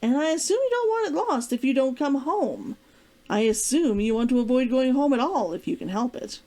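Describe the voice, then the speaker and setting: Lady reading as a caring storybook guy, kinda teasing and insistent, soft dark tone, lots of swoop. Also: sits high, animated, normal speed, pitched above the performer's own voice. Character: concerned, teasing adult man, protective old flame. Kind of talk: storybook character dialogue read aloud.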